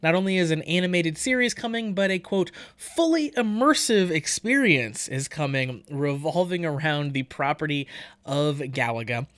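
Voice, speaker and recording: A man's voice: on the high side, lively intonation, normal speed, fairly bright tone, smooth, dry recording. A man hosting a podcast, giving chatty, informal commentary on entertainment news. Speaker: podcast host.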